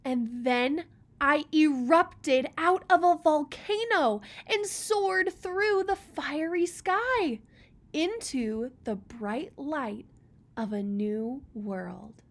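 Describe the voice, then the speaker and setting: A woman's voice: high-pitched, pitched above the performer's own voice, heavily acted. A woman voicing an ancient, contented male rock who tells his stories proudly and dramatically in a picture book read-aloud. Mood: grand, dramatic. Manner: dramatic, unhurried storytelling.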